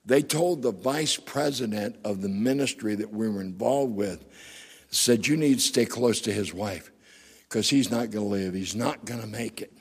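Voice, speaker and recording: A middle-aged male pastor preaches with a raspy, gravelly voice and a husky edge. He drives his sermon forward with emphatic rhetorical questions to the congregation. His voice echoes with a long reverb through a church sanctuary. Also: lowish voice, normal speed.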